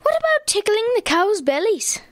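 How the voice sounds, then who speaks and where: A woman voicing a small, quick-witted leprechaun council member who quips matter-of-factly in a comic radio play. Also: extremely high pitch, sing-song, no echo. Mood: wry.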